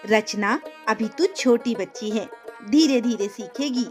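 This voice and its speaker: Woman playing a firm, calm cartoon mother. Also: fairly high pitch, unhurried, faint music behind, voice pushed down, natural voice. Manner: firm, instructive. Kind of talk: cartoon moral story acting.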